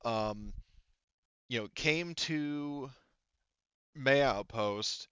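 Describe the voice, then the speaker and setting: Male game master, clear bright voice, improvised tabletop narration, emphatic but halting, searching delivery. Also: mid-range voice, no room sound, highs cut off. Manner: halting, discursive, emphatic storytelling.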